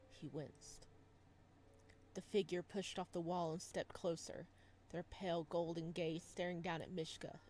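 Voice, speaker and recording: Expressive woman reading and reacting, noisy background. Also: on the low side. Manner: expressive, emotionally invested, gushing reactions. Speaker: host reading a visual novel playthrough. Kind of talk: dramatic read-aloud with excited reaction commentary.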